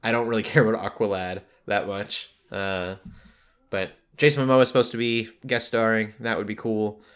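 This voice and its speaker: A man's voice: fairly low pitch, fairly even intonation, normal speed, a bit bright. A man hosting a casual pop culture podcast, talking in a rambling way that drifts into tangents. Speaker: podcast host.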